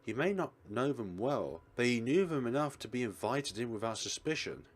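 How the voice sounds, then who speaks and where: A man's voice: mid-range voice, lively intonation, moderate pace. A man reading a visual novel aloud as narration for a let's play, now and then stumbling over a line. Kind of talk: expressive read-aloud narration. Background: game music.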